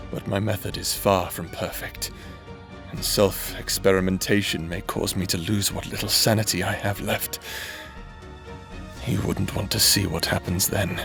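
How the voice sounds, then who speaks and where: A man voicing a menacing, scheming male necromancer in a roleplay audio drama, speaking in a calm, threatening, theatrical way. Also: sits low, gravelly.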